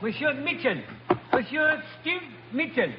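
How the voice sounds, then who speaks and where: High-pitched foreign messenger boy. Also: very animated, exaggerated voice. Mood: deferential. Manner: halting, deferential broken English. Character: polite, eager foreign messenger boy at a casino. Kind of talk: 1940s radio adventure acting. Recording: dull, noisy old radio recording.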